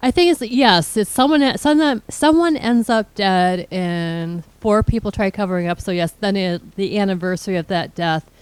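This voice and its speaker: Soft-spoken woman with a smooth voice. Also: medium pitch, normal speed, few pauses, no room sound. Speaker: podcast co-host. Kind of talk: casual chat.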